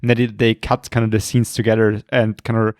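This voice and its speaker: A man co-hosting a podcast, talking casually about horror films, rambling and swearing often, with a voice going hoarse. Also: on the low side, level tone, a little fast, a little mellow, no room sound, studio quality. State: losing his voice, throat clearing. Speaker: podcast co-host. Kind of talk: casual film chat.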